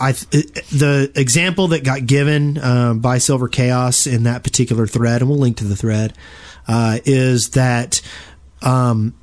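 Man, medium pitch, podcast co-host, casual discussion, explanatory, halting with repeated words. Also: darkish tone. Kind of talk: casual panel discussion about video games.

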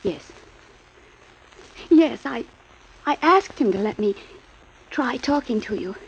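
An actress playing a scheming young woman begging her lover in a 1940s radio drama, pleading and breathy. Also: fairly high pitch, animated, slow pace, full character voice. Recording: dull old radio recording.

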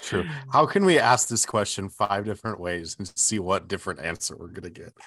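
A man's voice: low voice, brisk, sharp tone, slight background noise. A man co-hosting a sports podcast, chatting casually with a wry, teasing manner. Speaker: podcast co-host, sports reporter. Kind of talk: casual chat.

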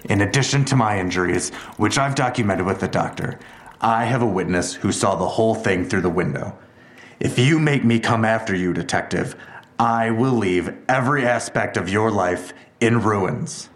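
An actor playing a ruthless, controlled police detective in an audio drama, speaking in a measured, coldly threatening way. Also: male voice, fairly low pitch, echoey room, lightly acted. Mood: menacing.

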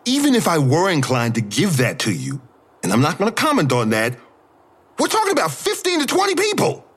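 A man's voice: fairly high pitch, huge pitch swings, brisk, fairly bright tone, slightly rough, heavily acted. A man playing an irritable, defiant mural artist in an audio drama, guarded and combative in his curt replies. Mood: defensive.

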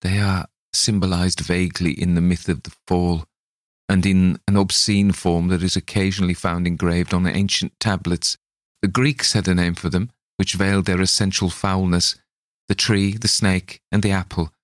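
A man's voice: deep voice, flat delivery, normal speed, no echo, studio quality, lightly acted. A man reading aloud, voicing a hysterical, terrified man who shrieks and trembles about what he has seen. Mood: hushed dread. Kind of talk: audiobook character voice.

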